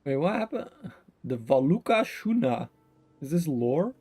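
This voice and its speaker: A man's voice: fairly high pitch, very animated, unhurried, a little mellow, slightly rough, dry recording. A man giving casual, playful live commentary as he streams a game, joking as he goes. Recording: faint game music behind.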